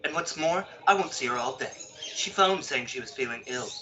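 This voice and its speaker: Peevish, pompous floorwalker male actor, sharp forceful voice of middle pitch, fussy clipped radio-play delivery. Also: lively intonation, normal speed, highs cut off, slight background noise. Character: snooty, peevish male department store floorwalker. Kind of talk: old-time radio drama acting.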